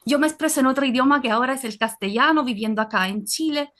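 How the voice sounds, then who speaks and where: High-voiced Italian woman speaking Spanish. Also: quick pace, fairly bright tone. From Naples, Italy. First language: Italian and Neapolitan. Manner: earnest, frequent fillers and restarts. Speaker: audience participant. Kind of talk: reflective personal comment in an online session.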